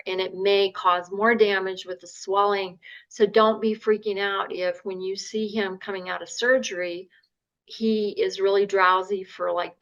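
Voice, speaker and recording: Woman, mid-pitched voice, storytelling, lots of ums, dull lo-fi sound. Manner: animated, talks in long runs with filler words. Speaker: patient's wife, podcast guest. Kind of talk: casual storytelling. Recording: muffled lo-fi podcast audio.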